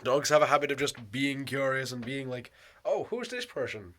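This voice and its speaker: A man with a sore, hoarse throat, rambling casually in a vlog, swearing and laughing often. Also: mid-range voice, animated, normal speed, a bit bright. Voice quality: hoarse, voice cracking. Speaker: vlogger.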